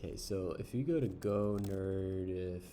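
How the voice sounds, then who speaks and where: A man casually reviewing an online service while browsing its pages, unhurried, with frequent ums and uhs. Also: deep voice, warm tone, slight background noise. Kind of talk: casual screen-share review.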